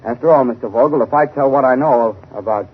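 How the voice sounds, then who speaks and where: A man's voice: mid-range voice, fast, slightly rough, lightly acted. An actor playing a timid, conscience-stricken family man, hesitant and earnest, in a 1930s radio mystery. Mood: nervous, resolute. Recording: dull 1930s radio recording.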